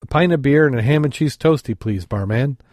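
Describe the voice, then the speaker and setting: A man voicing a calm talking male rabbit in a joke he reads on a podcast. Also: medium pitch, brisk, no room sound, studio quality, close to an everyday voice. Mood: matter-of-fact.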